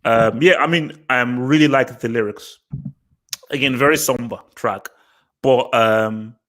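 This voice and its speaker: A young man co-hosting a casual album review, speaking in a relaxed, hesitant way with many ums. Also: medium pitch, unhurried. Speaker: music review co-host.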